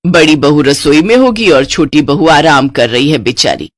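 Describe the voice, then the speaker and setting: A woman's voice: sits low, animated, moderate pace, dry recording, studio quality, pitched below the performer's own voice. A woman voicing a bossy, nagging middle-aged mother-in-law in a cartoon story. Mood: fussy.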